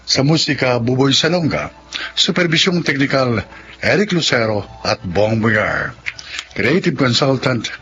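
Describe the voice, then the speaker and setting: A man's voice: mid-range voice, a bit bright, dull audio, slight background noise. A man announcing the credits and opening of a radio drama in a formal style. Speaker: announcer and actor.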